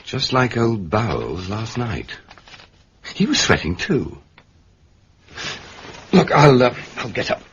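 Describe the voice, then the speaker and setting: An actor playing a mild, thoughtful English gentleman sleuth in a period radio drama, polite, diffident and gently probing. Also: mid-range voice, big pitch swings, slow, pauses often. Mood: curious. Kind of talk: British period detective radio drama acting. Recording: muffled radio recording, clear background noise.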